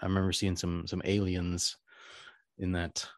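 A man with a fairly low voice chats as a podcast host. His delivery is casual and meandering, with hesitant searching for words. The sound is dry, with little room in it. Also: monotone, moderate pace, dry recording. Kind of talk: casual chat.

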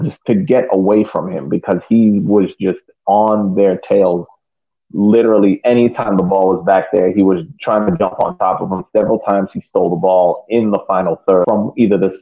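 A man giving casual match analysis as a podcast panelist, thinking aloud haltingly and repeating words. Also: low voice. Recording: thin, phone-like connection.

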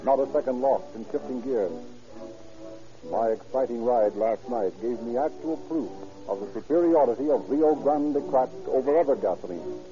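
A man with a mid-range voice delivers a 1930s radio advertisement as the show's announcer. He is formal and emphatic like a practiced pitchman, on a dull vintage recording with music behind him. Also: normal speed.

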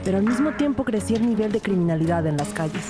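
A woman's voice: medium pitch, animated, quick pace, over music, natural voice. An actress playing an earnest, composed police detective who narrates her case in a scripted audio drama. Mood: composed, serious.

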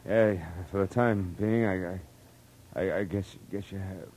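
An actor playing a tough, wry private detective in an old radio drama, with a terse, wisecracking delivery. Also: male voice, low-pitched, fairly even intonation, measured pace, a little mellow. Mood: dazed, pained. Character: hard-boiled, wry male private detective. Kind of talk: 1940s radio drama acting and narration. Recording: noisy old radio recording.

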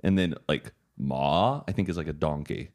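A man's voice: rumbling low, normal speed. A young man from Texas chatting casually, asking lots of questions and peppering his talk with "like". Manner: animated, quizzing the others, frequent "like". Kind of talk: casual chat.

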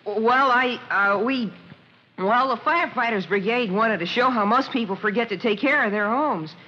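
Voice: mid-range voice, bright, forceful tone, lightly acted. A performer playing an eager, earnest, stammering schoolboy in a 1940s radio drama. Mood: flustered. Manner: halting, stammering. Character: eager, flustered schoolboy, golly-gee earnest. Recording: noisy, dull vintage radio recording.

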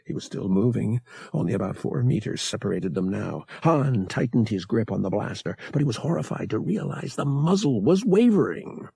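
A man's voice: lowish voice, sing-song, moderate pace, gravelly, dark tone, husky, dry recording. A man narrating fiction as an audiobook reader, in a dramatic scripted storytelling style.

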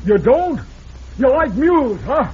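A man's voice: extremely high pitch, very animated, slightly rough, heavily acted. An actor playing a gullible, dim-witted Western American frontier man with a folksy drawl in an old radio western. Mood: eager, simple. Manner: slow-witted, hesitant, repeating himself. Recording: muffled, noisy vintage radio recording.

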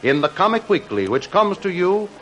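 A man's voice: sits high, very animated, normal speed, a bit bright, muffled recording. An American man working as an announcer, delivering scripted promotion in old-time radio style. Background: music.

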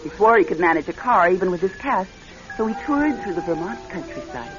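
A woman's voice: lowish voice, lively intonation, a little fast, over music, natural voice. An actress playing a reserved, polite divorced career woman who also narrates her own story in a classic radio drama style. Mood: reflective narration. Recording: dull, lo-fi old radio recording.

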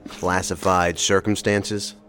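Deep-voiced, businesslike federal investigator. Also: male voice, flat delivery, fairly bright tone, faint music behind. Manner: formal, measured, probing. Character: businesslike male federal investigator, cool and probing. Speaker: investigator in a task force. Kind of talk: audio drama acting.

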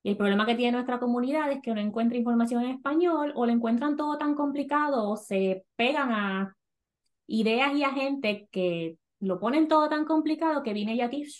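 A woman with a Puerto Rican Spanish accent hosting a casual podcast chat, lively and quick to laugh, slipping English words into her Spanish. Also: fairly high pitch. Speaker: podcast host.

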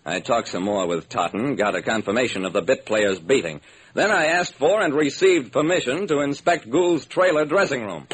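A man's voice: mid-range voice, expressive, moderate pace, a little hiss or hum, poor audio. A man narrating a scripted radio detective drama in the first person, telling it dramatically. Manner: dramatic storytelling.

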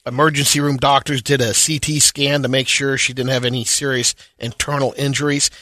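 A man co-hosting a radio show, reading news items in a steady, matter-of-fact way. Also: medium pitch, fairly quick, no echo.

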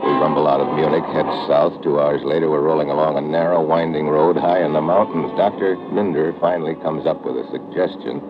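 A hard-boiled agent speaks in a very deep voice with clipped, wry delivery. It is vintage radio drama, muffled, with music clearly behind the voice. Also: male voice, steady tone, a little fast, hardly any pauses. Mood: tense narration. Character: tough wry American secret agent narrating his own case. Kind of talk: old-time radio drama narration and acting.

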